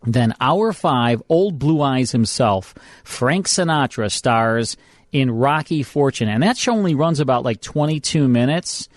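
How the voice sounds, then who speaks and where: American guy hosting a radio show, casual chat, average pitch, really dry studio. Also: animated, unhurried, no echo.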